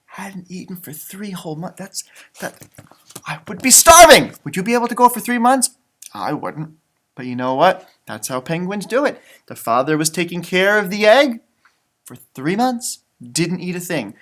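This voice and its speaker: A man reading a children's picture book aloud in an expressive, teacherly way, with casual chatty asides. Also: high-pitched. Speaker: storybook reader, elementary school teacher.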